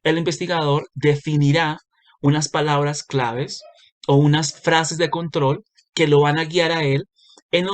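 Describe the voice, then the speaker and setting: Hesitant man lecturing. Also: on the high side. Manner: explains step by step with frequent hesitations and self-corrections. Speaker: university lecturer. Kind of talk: recorded lecture presentation.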